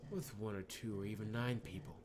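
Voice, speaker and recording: Man voicing sardonic, fatalistic low-voiced cynic, noisy recording. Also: steady tone, fairly quick, a little mellow, natural voice. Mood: taunting. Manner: sardonic, dismissive. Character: bitter, taunting, fatalistic injured man. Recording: clear background noise.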